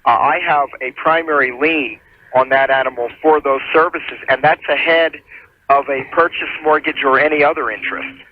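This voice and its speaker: A man's voice: medium pitch, fairly even intonation, normal speed, lo-fi sound. A man giving methodical, formal explanations as a legal adviser on a radio call-in show, over a telephone. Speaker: attorney calling in as legal adviser.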